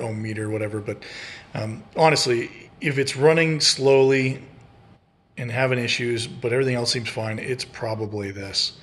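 A man's voice: mid-range voice, a bit bright. A man with a mid-range voice hosts a casual DIY repair video. He speaks informally, in laid-back everyday wording, explaining things as he goes. The sound is that of a handheld camera in a room at home. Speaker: host of a DIY repair channel.

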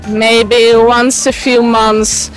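A woman's voice: fairly high pitch, level tone, extremely slow, poor audio. A woman giving casual answers in an outdoor street interview. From Netherlands. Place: open city square outdoors. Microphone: handheld furry interview mic. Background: music.

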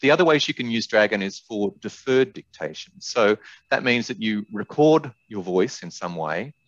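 An older man presenting a webinar in a measured, explanatory way, as an expert walking through software. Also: lowish voice, expressive. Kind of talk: webinar presentation with product demonstration. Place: indoors, small room on a video call. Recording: dull, roomy webinar audio.

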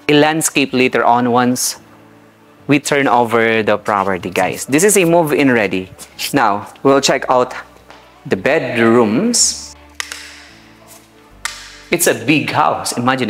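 A man's voice: medium pitch, fairly bright tone. A man with a Filipino accent hosting a casual property tour, chatting informally to viewers as he shows the rooms. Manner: informal, mixing Tagalog and English, addressing viewers as guys. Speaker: property tour host, real estate seller. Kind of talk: casual house tour vlog narration. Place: indoors in an empty new house. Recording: echoey bare rooms, music underneath. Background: background music.

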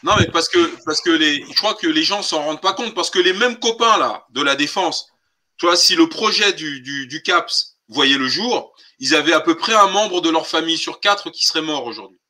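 A man with a very bright, sharp, fairly high voice hosts a political live stream. He speaks colloquially and insistently, driving points home with emphatic rhetorical questions. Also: expressive, normal speed. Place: online group call.

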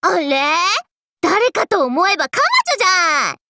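A woman's voice: very high-pitched, animated, a little slow, gravelly, noisy background, heavily acted. An actress voicing a fun-loving, trendy young party girl in an anime game, exuberant and breezy with slangy speech. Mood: energetic, cheeky. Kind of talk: anime game character lines.

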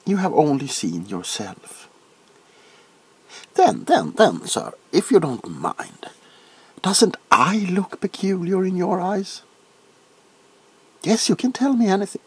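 A soft-spoken man playing a nervous, eccentric doctor in a comedic ASMR roleplay, hesitant and repetitive, often dropping into whispers. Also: mid-range voice, vocal fry, slightly rough, heavily acted. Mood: awkward, curious. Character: nervous, flustered, eccentric male doctor examining a monster. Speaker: ASMR performer. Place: small cluttered room at home. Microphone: close to the camera.

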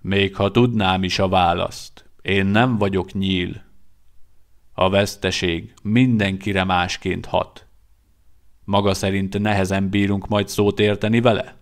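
A man doing a gruff, measured veteran vampire. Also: low-pitched, little pitch movement. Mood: measured, dry. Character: seasoned male vampire, gruff but warm-hearted.

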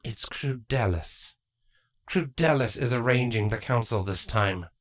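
A woman voicing a regal, protective changeling queen mother in a measured, formal and grave manner for a fantasy audiobook. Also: a bass voice. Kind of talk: acted fan fiction audiobook dialogue.

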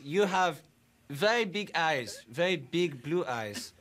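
A man playing a nervous, bumbling German interviewer who speaks halting broken English with a German accent. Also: a little high, lively intonation, a bit bright. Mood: awkward. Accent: German (put on). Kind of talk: prank interview.

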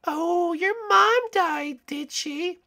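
A man voicing a cruel, menacing villain back for revenge in a hobby character skit, with slow, taunting delivery. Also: very high-pitched, vocal fry, bright, forceful tone, exaggerated voice.

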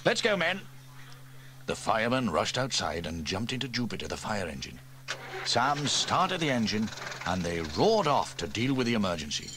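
A man narrating a children's storybook, lively, switching into higher voices for the characters. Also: moderate pace. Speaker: audiobook reader. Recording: faint tape hiss from old cassette.

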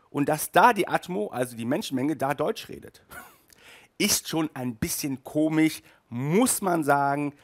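A man in his thirties hosting a casual video review, chatty and halting, with emphatic asides, speaking into a headset mic. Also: a little high. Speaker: host of an audio drama review show. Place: small furnished room indoors.

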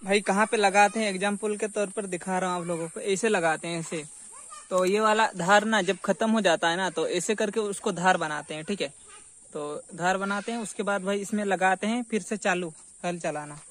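A man's voice: high voice, normal speed, a bit bright. A young man chatting casually and informally to viewers of his vlog, often repeating himself. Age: young adult. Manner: informal, repetitive, addresses viewers as friends. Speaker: vlogger. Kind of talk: casual vlog chat to camera. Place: outdoors in a village yard under trees. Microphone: phone camera at arm's length. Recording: outdoor phone recording with faint noise.

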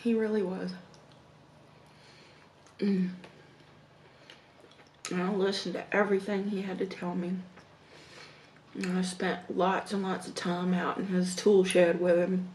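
A woman in her thirties with a Southern American accent, slowly telling stories while eating at her dining table at home. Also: lowish voice, monotone, reverberant, a little hiss or hum. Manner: slow, reflective, with hums and soft laughs between bites. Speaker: mukbang vlogger. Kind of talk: casual storytelling while eating. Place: home dining room, indoors.

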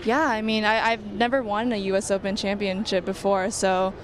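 A young woman athlete answers interview questions into a handheld mic. She is modest and giggles lightly. Clear background noise runs behind her. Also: mid-range voice, flat delivery, moderate pace. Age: young adult. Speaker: athlete guest. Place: studio set at a convention center.

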